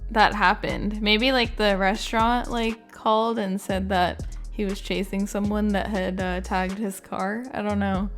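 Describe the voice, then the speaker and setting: Woman, medium pitch, gaming video host, informal unscripted commentary, conversational, thinking out loud, faint background music. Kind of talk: casual unscripted reaction and ranking commentary.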